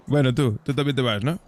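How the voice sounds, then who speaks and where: A man with a Spain Spanish accent, a streamer bantering in a roleplay game with crude, teasing jokes. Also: mid-range voice.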